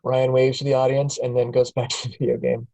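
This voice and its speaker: A man reads the stage directions aloud at a script table reading. His voice sits at a mid pitch, and he keeps a plain, steady delivery. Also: a little fast, vocal fry. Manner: plain, steady, chuckles at times.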